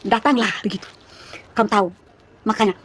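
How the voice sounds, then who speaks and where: A woman of about thirty from East Nusa Tenggara, speaking with its regional Malay accent, chatting to viewers on a phone livestream and telling her story in rapid, chatty bursts full of asides. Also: medium pitch, steady tone, slight background noise. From East Nusa Tenggara, Indonesia. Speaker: live streamer. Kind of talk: casual live-stream testimony to viewers.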